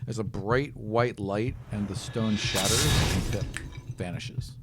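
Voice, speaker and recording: A man's voice: lowish voice, a little slow. A man working as game master, narrating and ruling on a tabletop role-playing game in casual speech. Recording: noisy room recording with clicks.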